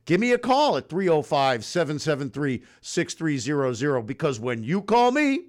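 A man hosting talk radio, pitching and interviewing in an informal, folksy, direct salesman's way. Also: sing-song, moderate pace. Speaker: radio host and mortgage lender.

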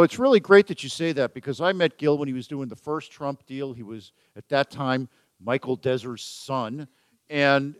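Joking radio host, dry close sound. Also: male voice, mid-range voice, smooth, no echo. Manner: jokey, self-deprecating, chatty. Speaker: radio talk show host. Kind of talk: casual chat. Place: event venue with photographers.

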